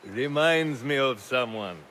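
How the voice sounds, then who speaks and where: An actor playing a kindly, patient assassin elder with a gentle manner in a video game cutscene. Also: male voice, medium pitch, lively intonation, a little hiss or hum. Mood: warm. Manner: gentle, unhurried.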